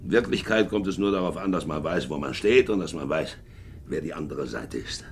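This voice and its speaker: An actor playing a hardened, domineering middle-aged sea captain and war veteran in a German radio drama, brooding and clipped. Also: male voice, expressive, brisk, exaggerated voice. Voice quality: gravelly, creaky low voice. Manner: brooding, clipped, swinging from hearty to menacing murmur. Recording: noisy 1950s radio recording.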